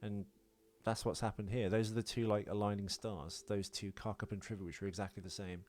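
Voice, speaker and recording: A man's voice: fairly low pitch, little pitch movement, fairly quick, darkish tone. A man with a British accent co-hosting a casual comedic podcast, rambling along in a jokey, self-deprecating way. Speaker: podcast co-host.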